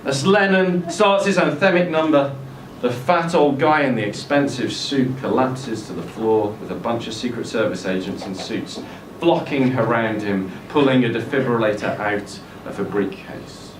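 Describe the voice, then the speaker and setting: A man's voice: medium pitch, normal speed. A man reading a comic story dramatically at a live literary event. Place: indoor social room at a live event. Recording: echoey venue, distant camera mic, faint noise.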